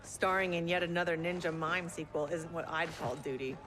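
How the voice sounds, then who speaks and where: An actress voicing a tough female military general who talks in a crisp, authoritative way. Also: on the low side, fairly even intonation, a little fast, background noise, close to an everyday voice. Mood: dry. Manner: crisp, commanding military address. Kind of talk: video game cutscene acting. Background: orchestral game score.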